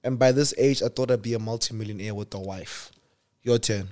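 A man's voice: lowish voice, fairly quick, darkish tone. A man in his twenties from South Africa, co-hosting a podcast in easygoing chat with hesitant, repeated words. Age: 20s. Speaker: podcast co-host. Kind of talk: casual chat.

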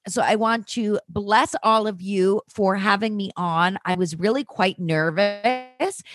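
A woman's voice: fairly low pitch, fairly bright tone, dry recording, clean studio sound. A young woman guest on a podcast, chatting in a playful, rambling way and giggling often. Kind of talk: casual podcast chat.

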